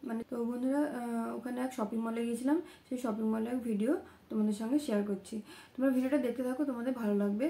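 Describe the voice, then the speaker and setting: A woman in her thirties with a voice hoarse from a cold, talking casually to her vlog viewers in a small room at home. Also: on the high side, steady tone, rapid-fire, warm tone, echoey room. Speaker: vlogger.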